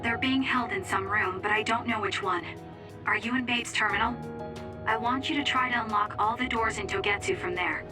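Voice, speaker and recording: A woman's voice: a little high, normal speed, recorded from a distance, natural voice. An earnest young woman soldier played by an actress in an anime game, speaking with urgency and resolve. Her voice sits a little back from the mic, under prominent music. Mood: urgent. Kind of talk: anime game English dub acting.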